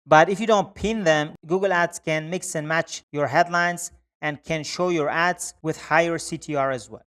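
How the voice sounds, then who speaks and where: A man with a slightly high, very clean and smooth voice walks through a software tutorial step by step. His phrasing is instructional and repetitive, like an online presenter guiding viewers through each click. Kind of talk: step-by-step screen-share tutorial narration.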